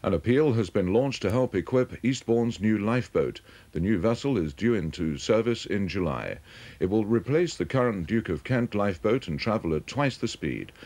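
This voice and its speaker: A British man reads a regional television news bulletin. His voice is very low and he keeps to a scripted newsreading delivery. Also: lively intonation, normal speed, darkish tone, slightly rough. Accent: British English.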